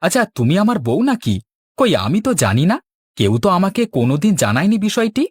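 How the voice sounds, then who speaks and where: Man acting cheeky young husband, animated delivery. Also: sits high, moderate pace, slightly rough, no echo, studio quality, heavily acted. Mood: defiant, teasing. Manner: teasing, argumentative. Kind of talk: cartoon dialogue.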